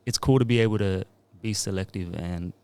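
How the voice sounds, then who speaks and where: A man's voice: deep voice, a little fast, mellow, clear, resonant tone. A man in his thirties, a music producer and DJ, talking in a laid-back, rambling way into a podcast mic. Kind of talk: casual podcast interview.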